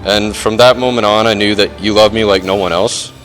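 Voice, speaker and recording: A young man with a fairly low voice gives a prepared but informal best man speech. His delivery is halting and dryly self-deprecating, with faint music in the background. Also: steady tone, fairly bright tone, low-quality recording. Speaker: best man, groom's older brother. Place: wedding reception, speaking to guests.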